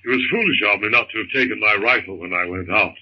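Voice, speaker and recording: An actor playing a calm, reassuring young husband and father in a 1930s radio drama. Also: on the low side, fast, slightly rough. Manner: gentle, breezy, reassuring. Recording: noisy, muffled 1930s radio recording.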